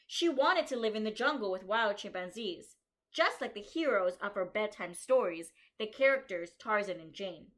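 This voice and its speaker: A woman's voice: medium pitch, animated, fairly bright tone. A kindergarten teacher, a woman, reading a picture book aloud to young children and stopping to think aloud and explain what the author and illustrator show. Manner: slows down and stresses key story lines.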